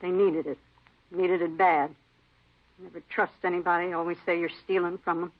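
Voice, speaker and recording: A woman's voice: lowish voice, flat delivery, normal speed, natural voice. An actress playing an aggrieved, defiant cleaning woman with plaintive, insistent, clipped folksy phrasing in a 1950s radio drama. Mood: calm, self-justifying. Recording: muffled vintage radio recording.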